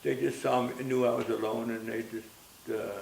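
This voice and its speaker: A man in his nineties, from Austria, reminiscing gently and haltingly in an interview, often repeating words as he recalls. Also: medium pitch, flat delivery, creaky. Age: 90s. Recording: roomy echo with faint hiss.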